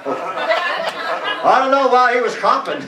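Older pastor guy joking with the graduates, high voice that swings all over, echoey church hall, loud crowd. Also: big pitch swings, slow, distant mic, heavy background noise. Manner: teasing, playful questions. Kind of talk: emceeing a graduation service. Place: church stage. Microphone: handheld mic.

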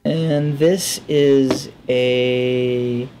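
A man in his thirties giving casual game commentary, thinking aloud in a halting, musing, bemused way into a headset mic. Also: mid-range voice, steady tone, mellow, slight background noise. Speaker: gaming video host. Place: indoor room at a desk.

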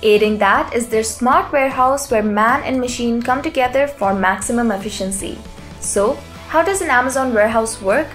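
A woman reading a scripted explainer narration in an even, polished voice-over. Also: fairly high pitch, moderate pace. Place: voice-over over stock footage. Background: soft background music.

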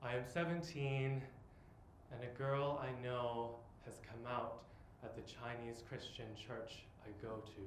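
Young guy doing a slow dramatic spoken word piece live on stage, lots of pauses, echoey room w some noise. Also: medium pitch, level tone. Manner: deliberate, dramatic phrasing with long pauses. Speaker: monologue performer. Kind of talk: theatrical autobiographical spoken-word monologue.

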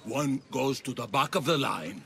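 An actor playing a curt, officious ancient temple guard with formal delivery in a video game cutscene. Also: male voice, medium pitch, moderate pace, vocal fry, pushed and bright, a little hiss or hum. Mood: stern.

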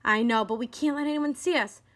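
An eager little living doll from a children's storybook, read by a woman. The voice is high and bright, with an expressive, urgent manner. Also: a little fast, close to an everyday voice. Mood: reassuring. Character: small living girl doll, eager, adventurous, easily alarmed.

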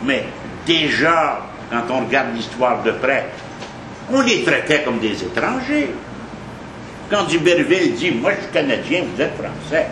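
A man's voice: medium pitch, very animated, measured pace. A man with a Quebec French accent giving an informal history talk in a café, emphatic and conversational, repeating points for weight. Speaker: history lecturer. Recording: echoey café, noisy background.

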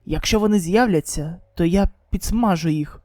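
A woman's voice: lowish voice, normal speed, dark tone, no echo, studio quality, pitched below the performer's own voice, natural voice. A woman voicing a curt, cool-headed, commanding man in a manga dub. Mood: curt, controlled. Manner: curt, blunt.

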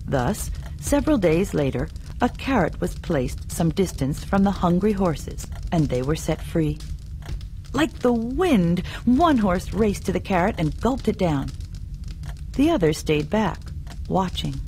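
Slow, expressive woman storyteller. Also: fairly low pitch, a little hiss or hum. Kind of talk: scripted folktale narration for learners.

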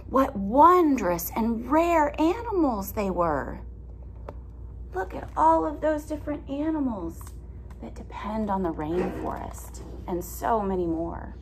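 A woman in her thirties, a teacher reading a picture book aloud to young children, expressively and with simple explanations and questions. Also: on the high side. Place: indoor classroom with alphabet wall displays. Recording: clear background noise.

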